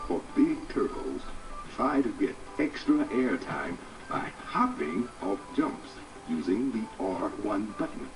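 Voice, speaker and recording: A man's voice: lowish voice, sing-song, slow, recorded from a distance, full character voice, low-quality recording. An actor playing an upbeat male racing game announcer who gives scripted tips, heard through game audio with music. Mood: instructive, upbeat. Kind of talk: scripted game tutorial narration.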